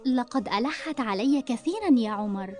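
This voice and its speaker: Woman voicing a high-pitched, wise talking book. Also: expressive, a little fast, a little mellow, faint music behind, close to an everyday voice. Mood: wise, friendly. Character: friendly wise talking book who tells moral stories. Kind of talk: cartoon dialogue and storytelling in formal Arabic.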